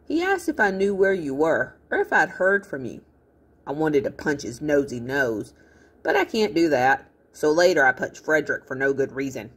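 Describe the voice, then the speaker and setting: Storybook girl, played by a woman: feisty, blunt, grumpy, husky, with expressive pitch. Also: moderate pace, exaggerated voice. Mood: irritated, wary.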